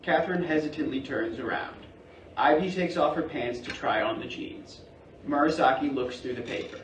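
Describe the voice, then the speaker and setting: A man's voice: fairly high pitch, moderate pace. A man reading out stage directions as scripted narration. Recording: distant mic in echoey room, faint hiss.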